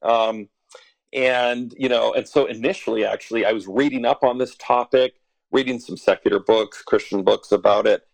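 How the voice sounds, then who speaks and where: A man's voice: medium pitch, fairly even intonation, normal speed, vocal fry, no room sound, rough recording. A man in his forties from western Canada, an author speaking as a podcast guest, thoughtful with frequent fillers and chuckles. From Alberta, Canada. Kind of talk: podcast interview.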